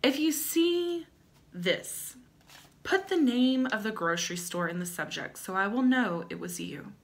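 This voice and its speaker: A woman's voice: a little high, lively intonation, unhurried. A young adult woman hosting a comedic dramatic reading with chatty asides, in a playful, wry manner with theatrical emphasis. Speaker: host. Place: small indoor room at home.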